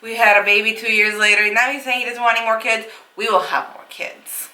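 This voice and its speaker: A woman's voice: on the high side, flat delivery, brisk, bright, forceful tone, reverberant, distant mic, low-quality recording. A woman in her twenties, a makeup artist, chatting casually with her husband in a Q&A video, full of fillers and laughs. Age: 20s. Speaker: makeup artist and channel co-host. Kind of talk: casual couple Q&A chat.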